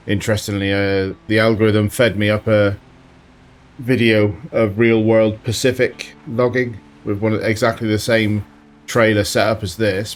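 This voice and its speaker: A man with a slight sore throat giving relaxed commentary while playing a driving game, thinking aloud as he goes. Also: lowish voice. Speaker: let's play host. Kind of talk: casual gameplay commentary.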